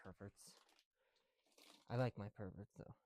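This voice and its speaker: A man talking casually and informally to viewers in a soft, mellow voice, in the manner of a homemade ASMR video. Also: lowish voice, steady tone, unhurried, slight background noise. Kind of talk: casual ASMR chat while building bricks. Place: small room at home, on the floor.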